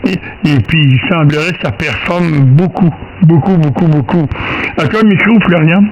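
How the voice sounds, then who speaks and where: A man's voice: a little high, normal speed, muffled recording, over a noisy crowd. A man with a Québécois French accent chatting casually and folksily over two-way radio as an amateur operator, rambling and repeating words for emphasis. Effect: two-way radio transmission. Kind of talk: casual radio chat about antennas. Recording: noisy two-way radio transmission with clicks.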